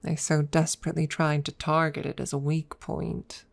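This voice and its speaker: A woman playing a proud, teasing dragon rival in a soft, measured ASMR audio roleplay, at times dropping toward a whisper. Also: low voice, level tone, gravelly. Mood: wry. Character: proud, teasing dragon rival hiding affection, hoarder of treasure.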